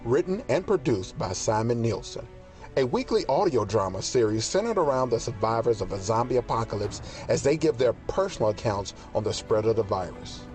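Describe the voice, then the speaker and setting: A man's voice: medium pitch, very animated, a little fast, a little mellow, soft background music. A man announcing an audio drama series in a dramatic scripted style. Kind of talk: dramatic scripted intro narration.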